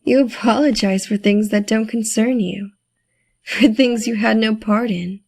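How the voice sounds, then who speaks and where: A woman playing a guarded, wry teenage girl from the streets in an audio drama, with a husky, breathy voice. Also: on the high side, steady tone, unhurried, a little mellow, exaggerated voice. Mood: frank reproach.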